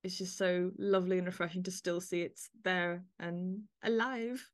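A woman's voice: lowish voice, steady tone, normal speed. A woman chatting casually as a podcast interviewer.

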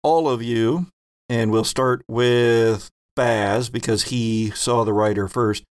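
A man serving as game master, narrating scenes slowly and explaining the rules to players. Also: mid-range voice, fairly even intonation, no room sound, studio quality.

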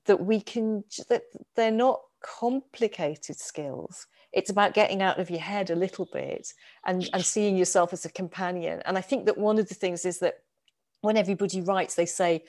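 A woman's voice: on the low side, a little mellow. A middle-aged woman from the UK, an author chatting thoughtfully with frequent restarts. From United Kingdom. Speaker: author and guest. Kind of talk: casual conversation.

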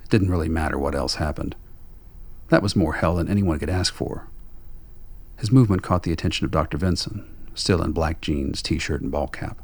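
A man's voice: rumbling low, normal speed. A man reading dramatic scripted narration for a radio play.

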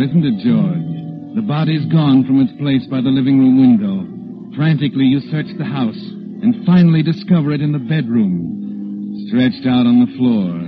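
An actor playing an omniscient, sardonic narrator of a 1940s radio mystery, taunting a guilty man in an ominous, knowing, deliberate way. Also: mid-range voice, natural voice. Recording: dull old radio recording with music behind.

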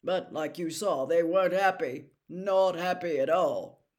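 A woman reading an audiobook voices a brisk young man who keeps dragons, firm and matter-of-fact. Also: on the low side, a little mellow, natural voice.

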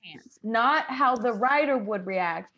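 Slangy woman with a fairly high voice. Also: lively intonation, slow pace, highs cut off, crowd noise. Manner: slangy, conversational. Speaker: podcast co-host. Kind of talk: casual group chat.

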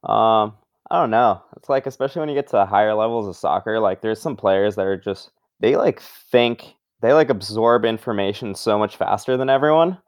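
A man's voice: on the low side, normal speed, dry recording, studio quality. A young man from Brazil, a guest in a casual interview, talking loosely and filling his speech with "like" and "um". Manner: relaxed, loose, leans heavily on "like" and "um".

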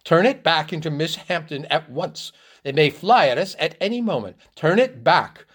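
A man voicing an excitable older society aunt who is shrill and pleading in a story reading. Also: moderate pace, breathy edge, heavily acted. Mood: shrill panic.

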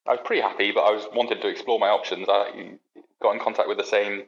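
A man's voice: on the low side, steady tone, fast, gravelly, highs cut off. A young man from the UK, a podcast guest, chatting casually and telling long rambling stories with plenty of restarts and self-deprecating asides. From United Kingdom. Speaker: podcast guest, racing engineer. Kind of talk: casual chat.

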